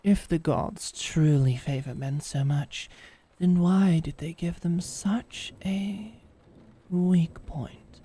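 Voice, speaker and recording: A woman's voice: fairly low pitch, slow pace, warm tone, breathy edge. A woman playing a sardonic, defiant lady knight in a medieval fantasy audio drama, with theatrical, formal phrasing. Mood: mocking. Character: defiant, sardonic lady knight protecting her princess lover.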